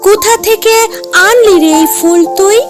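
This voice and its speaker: Lady doing a strict suspicious cartoon mom, high voice, naggy questioning tone, music behind. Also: normal speed. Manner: scolding, questioning. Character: stern, suspicious mother of a little girl. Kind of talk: cartoon story voice acting.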